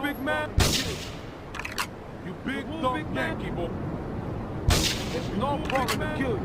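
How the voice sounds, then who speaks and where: An actor playing a menacing gang thug in a video game, repeating taunts in broken English. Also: male voice, extremely high pitch, exaggerated voice. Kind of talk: video game street threats. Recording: very noisy game audio with gunfire.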